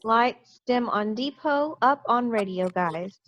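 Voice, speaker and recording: A woman's voice: fairly high pitch, lively intonation, slow, a bit bright, no room sound. Woman reading stage directions, muffled sound. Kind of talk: scripted narration at table read. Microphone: video call. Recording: muffled dry video call.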